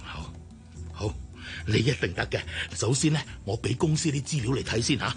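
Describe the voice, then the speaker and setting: A man's voice: medium pitch, expressive, moderate pace, faint music behind. A man with a Hong Kong Cantonese accent, playing a worried, middle-aged company director who sighs and pleads in a radio drama. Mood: urgent, pleading. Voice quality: husky, sighing.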